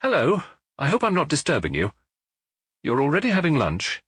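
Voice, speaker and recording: Man acting a brisk, husky police inspector. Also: a little high, wildly swooping pitch, a bit bright, no room sound, clean studio sound, voice pushed up, heavily acted. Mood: polite, brisk. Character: brisk male provincial French police inspector, earnest, deferential.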